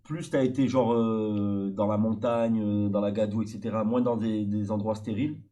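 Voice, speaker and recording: A man's voice: on the low side, fairly even intonation, moderate pace, dark tone. A man from France, older than his host, chatting casually and explaining at length with many hesitations. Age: adult older than the host. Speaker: stream guest. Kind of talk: casual chat.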